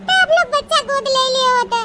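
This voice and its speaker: A woman's voice: extremely high pitch, quick pace, sharp tone, soft background music. A woman voicing a wretched, tricked young bride in a comic cartoon melodrama, lamenting tearfully with an Awadhi accent. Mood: distraught. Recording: very noisy cartoon voice track.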